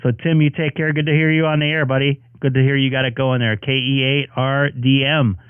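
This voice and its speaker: A man's voice: medium pitch, little pitch movement, fairly quick, clear, resonant tone, no echo. A man with a fraying voice talks over a thin, tinny radio channel, and the sound is clean and dry. He rambles on with lots of fillers while chatting casually on the air as a ham radio host. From Southern California, USA. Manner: rambling, filler-heavy, friendly sign-off talk. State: voice starting to go.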